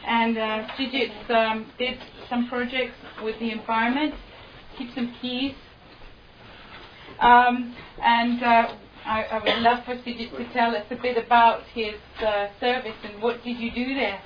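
A woman hosts a small gathering, introducing each speaker in an easy, informal manner with a few fillers. Her voice sits a little high. The recording is somewhat dull and lo-fi. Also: little pitch movement, unhurried, slight background noise. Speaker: event host.

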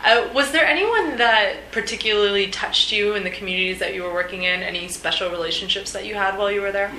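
A woman's voice: mid-range voice, fast talker, sharp tone. A woman interviewer asking questions in a conversational style. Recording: echoey room, faint background noise.

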